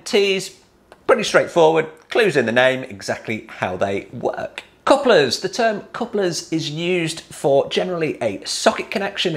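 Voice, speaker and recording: A man in his forties explains hot tub pipe fittings to camera, taking them one at a time and lifting his voice at each new heading. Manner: plain step-by-step, lifts pitch on each new heading.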